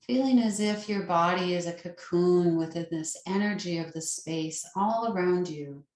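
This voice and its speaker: A woman leading a guided meditation as a yoga nidra teacher, with a soothing, gentle and unhurried manner. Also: fairly low pitch. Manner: soothing, unhurried, gentle instruction with long pauses. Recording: roomy, echoing sound.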